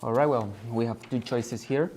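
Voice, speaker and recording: An eighteen-year-old man talks through an English speaking test. He pauses and restarts often while searching for the right words. His voice is warm and mid-pitched. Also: slight background noise. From Venezuela. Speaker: test candidate. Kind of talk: exam conversation.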